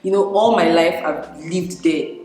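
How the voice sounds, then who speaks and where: A young woman from Nigeria, a native Igbo speaker, chatting casually to her vlog audience, laughing often and pausing to find her words. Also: on the low side, a little mellow, soft background music. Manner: chatty, laughs often, frequent fillers and restarts. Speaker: vlogger. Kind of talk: casual vlog talk to camera. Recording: very echoey room with long reverb.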